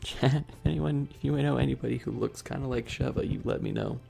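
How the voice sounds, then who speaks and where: A man's voice: mid-range voice, fairly even intonation, brisk, vocal fry, mellow, soft background music. A man chatting casually as a livestream host, bantering with viewers in a slangy, joking way. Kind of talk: casual livestream chat, ASMR streamer.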